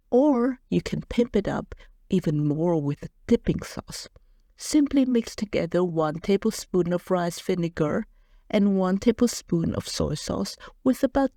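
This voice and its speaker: A woman's voice: medium pitch, warm tone, no echo, clean studio sound. A woman with a non-native English accent narrating a home cooking recipe as a voice-over, cheerful and playful. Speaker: cooking channel host.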